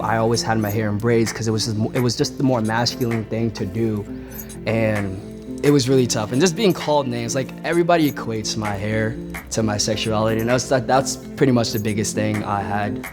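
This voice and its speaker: A man in his twenties talking casually and earnestly, full of fillers, in a candid group conversation. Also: medium pitch. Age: 20s. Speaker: participant.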